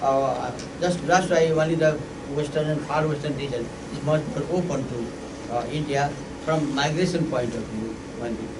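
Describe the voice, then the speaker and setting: A man from eastern Nepal with a Nepali accent, giving informal, halting explanatory remarks as a panel discussant. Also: on the high side. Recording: echoey room, distant mic, clear noise.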